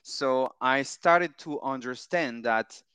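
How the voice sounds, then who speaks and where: A man's voice: mid-range voice, slow pace, pushed and bright, clear, resonant tone, no echo. A French man in his thirties, a mental coach, answering interview questions with frequent fillers and repeated phrases. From France. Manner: hesitant, repeats words and phrases, many fillers.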